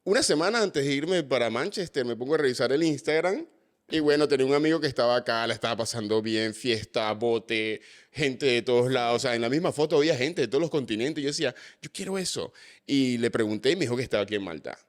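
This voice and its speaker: A young Venezuelan man hosting a casual interview, chatty and animated, with jokey asides. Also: mid-range voice, fast talker. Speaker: host.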